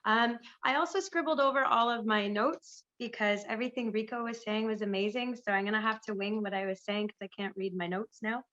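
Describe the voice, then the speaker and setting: Squeaky-voiced woman on a muffled call. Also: steady tone, brisk, few pauses, fairly bright tone. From Canada. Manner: enthusiastic, chatty, improvising. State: recovering from lost voice, squeaky. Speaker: panelist, pest management business owner. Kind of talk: conference panel talk.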